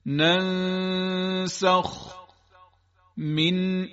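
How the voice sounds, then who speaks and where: A man reciting Quranic example words one at a time in a measured, chanted style. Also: high voice, level tone, a bit bright, long reverb tail, lo-fi sound, soft background music.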